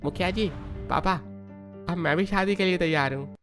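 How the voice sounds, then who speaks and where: A man's voice: high voice, normal speed, fairly bright tone, faint music behind. A man voicing an earnest village man in a cartoon. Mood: earnest, eager. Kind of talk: Hindi cartoon dialogue acting.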